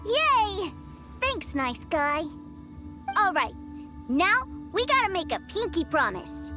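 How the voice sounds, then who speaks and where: A woman's voice: very high voice, big pitch swings, slow, exaggerated voice. A woman voicing a cheerful, stubborn little boy in a game cutscene, talking in an eager, sing-song way. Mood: gleeful. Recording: game cutscene with loud music. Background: game music.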